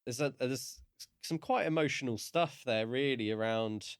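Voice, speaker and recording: A man co-hosting a podcast, discussing a book casually in halting, thoughtful phrases. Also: medium pitch, clean tone, no room sound, studio quality. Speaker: podcast co-host.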